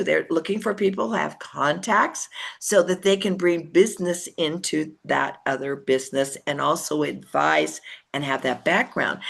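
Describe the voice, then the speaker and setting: An older woman with a lowish, gravelly voice runs a sales training session. She talks in a chatty way and jumps into bright, animated voices when she acts out her chats with prospects. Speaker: network marketing leader. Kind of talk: motivational training talk.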